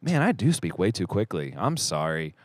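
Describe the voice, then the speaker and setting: A man with a fairly low voice, recorded dry and close, hosts a casual podcast. He rambles in a joking, self-deprecating way. His sentences tend to trail off into a mumble. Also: sing-song, normal speed, dry recording. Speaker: podcast host.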